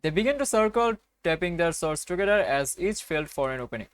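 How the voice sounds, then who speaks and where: A man's voice: high-pitched, animated, a little fast, no echo. A man hosting a game playthrough, reading a visual novel aloud with casual commentary.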